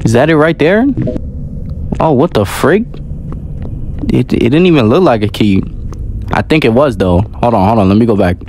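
A man's voice: mid-range voice, normal speed, long pauses, background noise. A man giving casual, slangy gameplay commentary as a gaming video host. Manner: animated, slangy reactions.

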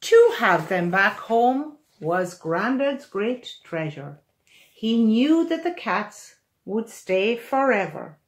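Slow, very expressive older woman reading a storybook. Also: fairly high pitch. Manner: animated, expressive, deliberate storytelling. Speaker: children's author reading own picture book. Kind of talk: storybook read-aloud for children. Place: small room at home, indoors.

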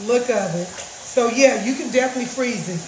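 A middle-aged woman with a raspy voice chatting casually to her viewers about juicing, recorded in her home kitchen on a phone. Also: a little high, brisk, darkish tone, a little hiss or hum. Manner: chatty, repeats herself, addresses viewers as guys. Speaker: juice vlogger. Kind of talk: casual live chat. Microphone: phone camera across the counter. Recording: roomy kitchen echo.

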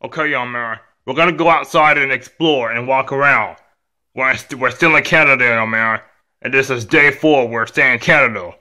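A man's voice: medium pitch, moderate pace, pushed and bright, pitched below the performer's own voice. A man playing a stern, weary father who scolds and admonishes his young son in a homemade story. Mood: calm, firm.

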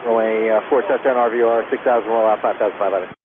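Over a thin, noisy radio channel, a male air traffic controller rattles off clipped procedural instructions. He speaks quickly and matter-of-factly in standard phraseology. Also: fairly low pitch, fairly even intonation, phone-quality sound. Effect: aviation radio transmission.

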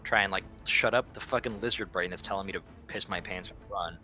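A man's voice: sits low, fairly quick, pushed and bright, soft background music. A man chatting casually as a player in a tabletop game, sweary and joking.

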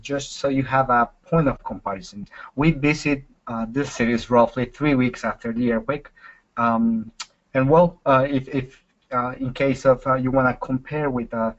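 Young Ecuadorian man presenting a webinar. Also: mid-range voice. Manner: measured, with fillers. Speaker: student presenter. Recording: dull lo-fi webinar audio.

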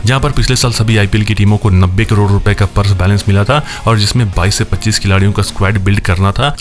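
A man hosting a cricket news roundup, reading a scripted voice-over in a rapid, steady newsreader delivery and addressing viewers as friends. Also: fairly low pitch. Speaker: cricket news channel host.

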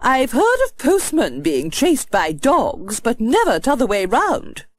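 A woman voicing a sharp-tongued older postmistress with a sing-song manner. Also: fairly high pitch, wildly swooping pitch, slow pace, no room sound, heavily acted. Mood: scolding, advising.

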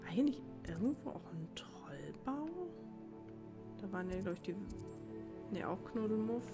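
A woman's voice: mid-range voice, lively intonation, normal speed, over music. A woman giving casual gameplay commentary, musing as if thinking aloud to herself. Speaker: let's play gamer.

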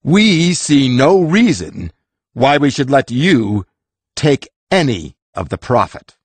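An actor playing a greedy, menacing pirate thug in a fantasy audiobook. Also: male voice, mid-range voice, very animated, slow, husky, no echo, full character voice. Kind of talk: full-cast fantasy audiobook acting.

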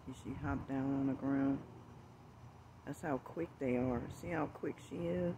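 Slow, soft-spoken man, often hushed. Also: mid-range voice, creaky, noisy background. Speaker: birdwatching vlogger. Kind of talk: casual outdoor narration while filming. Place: outdoors in a backyard among bushes. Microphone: handheld phone camera.

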